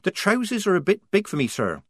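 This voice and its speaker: A sensible young woman passing as a soldier, answering in a dry, matter-of-fact way, as a male narrator voices her. The voice sits very high and close. The recording is dry. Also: huge pitch swings, a little fast, breathy edge, dry recording, exaggerated voice. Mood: deadpan.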